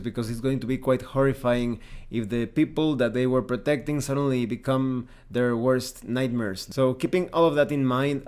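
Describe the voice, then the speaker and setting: A man presenting a semi-scripted review of a tabletop game in a measured, explanatory and emphatic way. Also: medium pitch, a little mellow.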